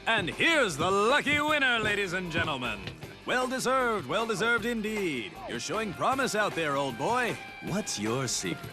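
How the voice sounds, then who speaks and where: Video game sportscast host: high, animated, bombastic, slick showman selling danger to fans. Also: moderate pace, over music. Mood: showy, excited. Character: brash, flamboyant showman TV race host. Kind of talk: over-the-top sportscast hosting.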